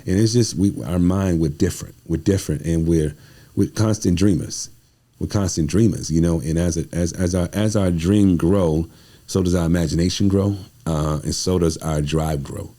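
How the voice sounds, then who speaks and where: A man with a very low, mellow voice talks casually in a podcast conversation. He speaks as a guest sharing personal stories, leaning on repeated phrases for emphasis. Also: normal speed.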